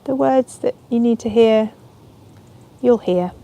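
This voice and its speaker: A woman leading a guided relaxation as a yoga nidra teacher, speaking in a soothing, unhurried, gentle way with ambient sound around her. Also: on the high side, gravelly.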